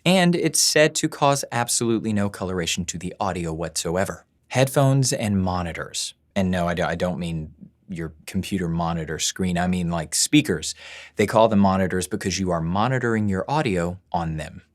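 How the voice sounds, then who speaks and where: Male voice-over coach, slightly low voice, chatty and self-joking gear rundown. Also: expressive, normal speed. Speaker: voice-over studio owner and teacher. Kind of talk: gear tutorial video. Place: studio at work.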